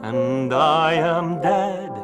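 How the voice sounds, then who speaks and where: Woman slowly singing a low ballad. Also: music in the background. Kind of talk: slow singing of a sentimental folk ballad.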